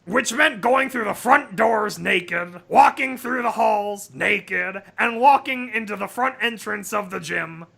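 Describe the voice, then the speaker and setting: High-pitched, bright, creaky man reading aloud. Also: slow, few pauses. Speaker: livestream host. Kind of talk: comic story read-aloud with casual asides.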